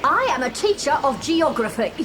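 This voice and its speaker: A woman's voice: sits high, sing-song, normal speed, noisy background. A woman playing a prim, sceptical geography teacher in an audio dramatisation, speaking in a pompous, indignant manner.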